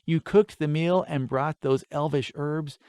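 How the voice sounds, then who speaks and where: A man voicing a kindly, gently teasing mother with an appreciative manner in a fantasy audiobook. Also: fairly high pitch, steady tone, unhurried, clear, resonant tone, dry recording, pitched above the performer's own voice, natural voice. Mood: grateful.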